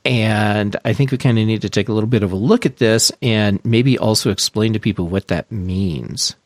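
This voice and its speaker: A man's voice: lowish voice, normal speed, no room sound, studio quality. A man hosting a casual podcast, chatting with his co-hosts and explaining news step by step. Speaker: podcast host. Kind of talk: casual tech podcast chat.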